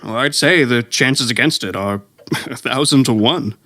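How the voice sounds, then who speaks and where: A man's voice: mid-range voice, moderate pace, bright, forceful tone. A man playing a skeptical astronomy professor in a 1930s-style radio drama, scholarly, matter-of-fact and a little hesitant. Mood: dismissive, scholarly.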